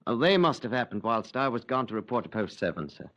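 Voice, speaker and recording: A man's voice: medium pitch, sing-song, quick pace, fairly bright tone. An evasive, fumbling man under questioning who hesitates and trails off, forgetting names on purpose. The voice sits in a plain middle range. It has the tone of a 1950s radio drama. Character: evasive male former fire watcher under questioning.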